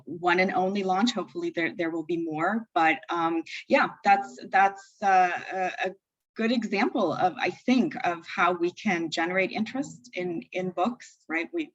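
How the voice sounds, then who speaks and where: Woman guest, low voice, hesitant with many restarts, dull lo-fi call audio. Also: fairly bright tone. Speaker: guest editor of a literary publisher. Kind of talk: casual panel talk.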